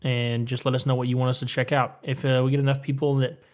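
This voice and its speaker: A young adult man co-hosts a comic book podcast in easy, casual chat. His voice sits at a middling pitch, and he keeps things upbeat and chatty, laughing often between points. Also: level tone, fast talker. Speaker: podcast co-host.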